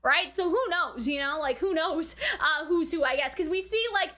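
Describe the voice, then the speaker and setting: A woman's voice: high-pitched, moderate pace, bright, forceful tone. A woman giving casual, chatty review commentary as a reaction host, often checking in with informal tag questions. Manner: chatty, informal, often tags sentences with "right?" and "you know".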